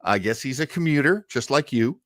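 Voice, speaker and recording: A man's voice: medium pitch, animated, a little fast, fairly bright tone, no echo, studio quality. A man hosting an old-time radio livestream, chatting casually and enthusiastically in a rambling way.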